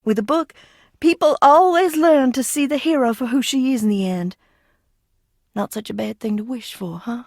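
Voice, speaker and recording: An actress playing an eccentric, bitter younger sister in a video game, theatrical and mercurial, giggly and menacing by turns. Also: female voice, high voice, normal speed, dry recording, clean studio sound. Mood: dreamy, wistful. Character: eccentric, bitter, unhinged younger sister living hidden in a crypt. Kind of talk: video game character acting.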